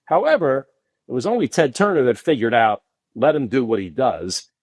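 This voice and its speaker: A middle-aged man, a podcast guest telling long animated anecdotes full of restarts. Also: normal speed, dry recording. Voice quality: deep voice. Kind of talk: casual storytelling interview.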